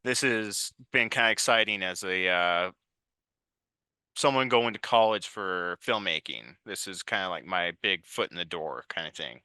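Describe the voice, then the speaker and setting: Crisp, bright-voiced young man, very dry sound. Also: sits low, moderate pace, no room sound. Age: 20s. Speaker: interview guest, writer and director. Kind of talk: casual conversational interview answers.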